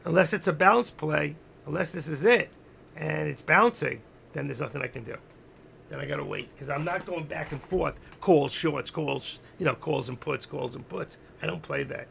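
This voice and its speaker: A man with a gravelly, hoarse voice that is giving out, doing unscripted live trading commentary, thinking aloud, repeating himself and instructing his viewers. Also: on the high side, normal speed, a bit bright, slight background noise. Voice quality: gravelly, creaky. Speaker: live stock-trading streamer.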